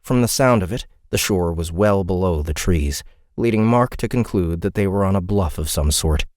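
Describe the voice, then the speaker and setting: A man narrating a fantasy audiobook in an expressive, dramatic storytelling style. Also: deep voice, moderate pace, no echo, studio quality.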